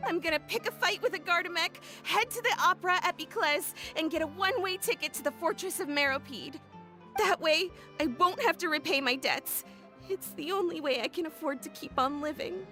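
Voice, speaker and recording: A woman's voice: extremely high pitch, a little fast, sharp tone, faint music behind, full character voice. A woman voicing a dramatic, grandiose young female engineer in a video game. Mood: desperate. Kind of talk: English video game voice acting.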